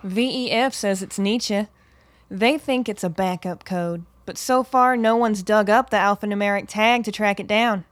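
A woman's voice: medium pitch, moderate pace, dry recording, lightly acted. An actress playing a tough, weary woman in hiding in an audio drama, speaking bluntly and matter-of-factly.

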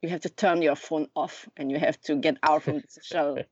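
A woman in her thirties speaks with a Swiss French accent in a low voice. She is a podcast guest who stops often to search for words, with many fillers. The remote recording sounds dull and very dry. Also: steady tone, a little fast, slightly rough, no echo. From Lausanne, Switzerland. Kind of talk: casual conversation.

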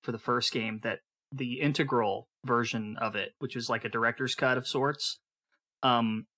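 Bright-voiced man co-hosting a podcast. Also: medium pitch, steady tone, normal speed. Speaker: podcast co-host. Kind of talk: casual chat.

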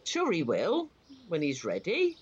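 A woman's voice: fairly low pitch, huge pitch swings, a little slow, slightly rough, heavily acted. An actress playing a fussy, bossy, long-married older wife and mother in an audio play. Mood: fussy, chiding. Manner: fussy, chiding. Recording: muffled, noisy home recording.

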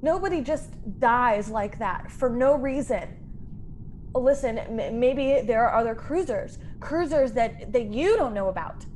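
An actress playing a frightened young woman stowaway in a science fiction radio drama, halting and emotional. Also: a little high, expressive, a little mellow, slight background noise. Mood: panicked, pleading. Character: frightened young female stowaway, pleading, naive and wistful. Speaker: actor in a radio play.

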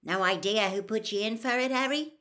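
A woman voicing a huge, kindly, rough-spoken man in a storybook reading, with folksy, homely dialect speech. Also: medium pitch, brisk, sharp tone, voice pushed up, close to an everyday voice. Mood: earnest.

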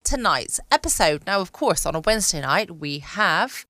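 A woman's voice: on the low side, animated, moderate pace, bright, forceful tone, dry recording, studio quality. A British woman chatting casually as the host of a late night bedtime podcast. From United Kingdom.

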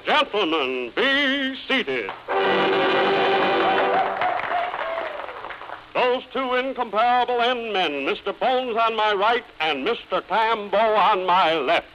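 A man's voice: high-pitched, animated, unhurried, few pauses, loud music behind the voice. A man playing a grand, formal master of ceremonies in an old-time radio minstrel show. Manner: theatrical, formal. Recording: noisy, dull old radio recording.

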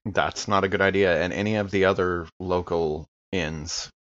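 A man with a fairly low voice role-plays in a tabletop game podcast. He sounds earnest and deliberate. The recording is dry and a little dull. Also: fairly even intonation, a bit bright, dry recording. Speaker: player in a role-playing podcast. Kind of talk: improvised in-character chat at a game.

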